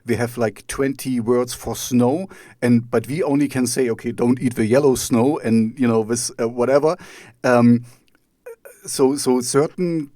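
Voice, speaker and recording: A man's voice: medium pitch, fairly even intonation, measured pace, warm tone, no echo. A man with a German accent hosts a casual talk show in a mid-range voice. He rambles and hesitates, approaching each question from several sides. The sound is very dry, with no room around it. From East Germany. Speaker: radio talk show host. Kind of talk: casual conversational interview.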